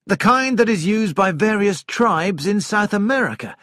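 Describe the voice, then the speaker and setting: A man voicing an excitable, knowing gentleman in an audiobook, with a dramatic, exclamatory manner. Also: high-pitched, normal speed, dry recording, studio quality, voice pushed up. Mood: amazed, excited.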